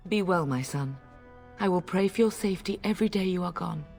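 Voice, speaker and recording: A woman's voice: fairly low pitch, a little fast, creaky, darkish tone, faint music behind. A middle-aged woman playing a noble mother in a video game. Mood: tender.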